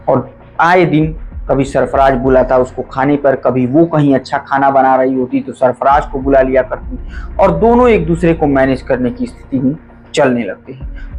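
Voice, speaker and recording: Male storyteller, dramatic and earnest, average pitch, faint music bed. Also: a little mellow, poor audio. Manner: earnest, emphatic, addresses listeners as friends. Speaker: crime story narrator.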